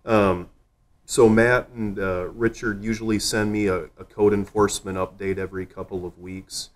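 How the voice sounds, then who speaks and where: A man's voice: on the low side, level tone, moderate pace. A man speaking as a city official, giving an informal, plain-spoken and reassuring update in a meeting room. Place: council meeting room, indoors.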